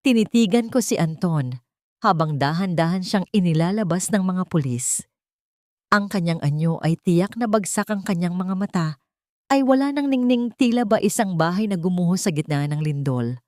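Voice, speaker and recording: A woman narrating a scripted first-person dramatic story in a solemn, deliberate, sentimental way. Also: fairly low pitch, animated, darkish tone, no echo, clean studio sound.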